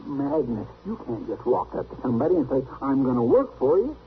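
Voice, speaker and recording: A man's voice: medium pitch, big pitch swings, a little fast, narrow, tinny audio, close to an everyday voice. An actor playing an exasperated, bossy frontier lawman's helper with a folksy Southern drawl, in old radio western style. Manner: scolding, querulous. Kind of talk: 1950s radio western acting. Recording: band-limited, noisy old radio recording.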